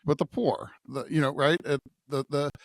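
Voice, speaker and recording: A middle-aged man co-hosting a podcast, talking through scripture in a halting, reflective, conversational way and often checking for agreement. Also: a little high, fairly even intonation, creaky, dry recording. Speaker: podcast co-host.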